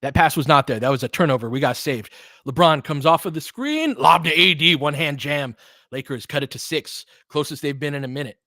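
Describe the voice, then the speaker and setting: Male play-by-play host for basketball. Conversational, thinks out loud, breaks down the action, recorded dry. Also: fairly high pitch, animated, fairly quick, no room sound. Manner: conversational, thinking aloud, analytical. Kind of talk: live basketball commentary with casual asides.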